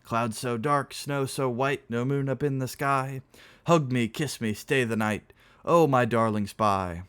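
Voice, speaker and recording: Boisterous greedy toastmaster, played by a male audiobook reader, raised pitch, theatrical bellowing, sweeping intonation. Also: huge pitch swings, moderate pace, heavily acted. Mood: singing.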